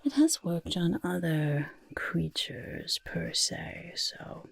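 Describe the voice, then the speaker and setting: A woman voicing a boastful, evasive goblin potion-maker in soft-spoken, breathy ASMR roleplay, fussing over the listener and hesitantly backpedalling. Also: fairly low pitch, big pitch swings, slow, dry recording, heavily acted. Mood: smug, evasive. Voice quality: breathy, husky near-whisper. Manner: refers to self in third person, hesitant backpedalling.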